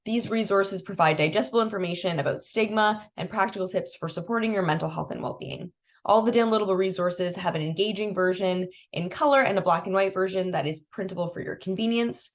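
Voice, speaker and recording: A woman's voice: mid-range voice, a little fast. A young adult woman, a bit congested and sniffly, walking viewers through a resource toolkit in a casual, chatty way with many fillers. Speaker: programs manager presenting a resource toolkit. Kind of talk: casual screen-share walkthrough.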